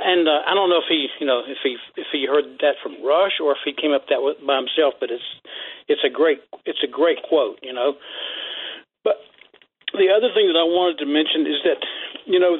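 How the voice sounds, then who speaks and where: A man calling into a talk radio show, speaking haltingly with many restarts. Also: fairly high pitch, slightly rough. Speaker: caller. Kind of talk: phone-in comment. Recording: tinny phone line.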